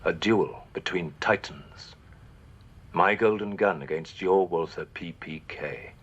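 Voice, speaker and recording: Man acting a deep, husky, suave villain. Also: unhurried. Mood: quietly threatening. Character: cold, suave male movie villain in a duel. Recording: dull, muffled film clip.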